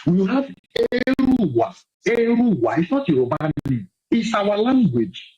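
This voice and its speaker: A man's voice: high-pitched, big pitch swings, measured pace, darkish tone, breathy edge, dull audio. A man making an impassioned, insistent speech, repeating his points for emphasis, heard as a played clip.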